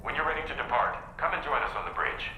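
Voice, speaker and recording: A very forceful, sharp-voiced ship crewman. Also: a little high, fast talker, echoey room, a little hiss or hum, poor audio. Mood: businesslike. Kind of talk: video game cutscene acting.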